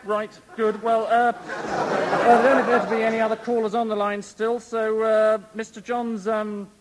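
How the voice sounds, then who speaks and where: A man's voice: high voice, flat delivery, moderate pace, a faint crowd, a little hiss or hum, natural voice, low-quality recording. An actor playing a hapless male radio phone-in host who stumbles and stalls with endless ums and uhs. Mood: flustered. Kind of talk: radio comedy sketch.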